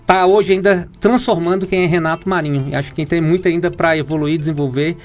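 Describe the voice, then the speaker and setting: A man, an oncologist from Minas Gerais with a Brazilian accent, explains things in a halting way, full of fillers and restarts. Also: fairly high pitch, fairly even intonation, faint music behind. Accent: Brazilian Portuguese, Minas Gerais. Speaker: oncologist guest. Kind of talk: explanatory interview talk.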